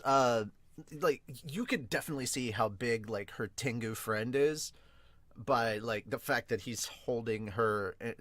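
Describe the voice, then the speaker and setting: A man hosting a casual manga reaction podcast, talking in an excitable, rambling way. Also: mid-range voice, no room sound. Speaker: podcast host and manga reviewer. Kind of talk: casual reaction commentary with read-aloud.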